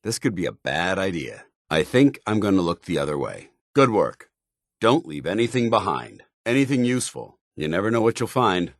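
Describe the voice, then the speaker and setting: An actor playing a stern, loyal soldier in a video game, speaking in a clipped, military, commanding manner. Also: male voice, deep voice, big pitch swings, moderate pace, dry recording, clean studio sound, full character voice. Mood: urgent, commanding. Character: stern, loyal male soldier, zealous armored paladin companion. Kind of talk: scripted video game companion dialogue.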